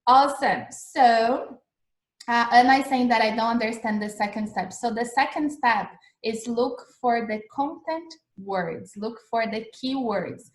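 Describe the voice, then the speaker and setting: A woman's voice: a little high, unhurried, roomy sound. Chatty Brazilian woman teaching English. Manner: chatty and encouraging, with frequent "okay?" checks. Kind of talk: livestreamed English lesson. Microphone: video call recording.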